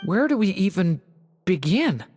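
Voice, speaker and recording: A woman voicing a gentle, earnest young storyteller in a video game, speaking softly and hesitantly. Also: medium pitch, very animated, unhurried, music in the background. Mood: thoughtful. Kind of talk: animated video game voice acting.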